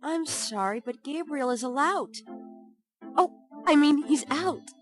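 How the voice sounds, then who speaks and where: An actress playing a sharp-tongued young woman who works in a bookshop, teasing and sarcastic in a studio adventure game. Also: high voice, expressive, a little slow. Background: game music.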